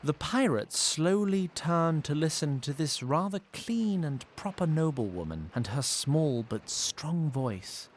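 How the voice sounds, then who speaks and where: An actor playing a wry storyteller, reading scripted fantasy audio drama narration. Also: male voice, on the high side, expressive, measured pace, few pauses, slight background noise. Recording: clear music behind.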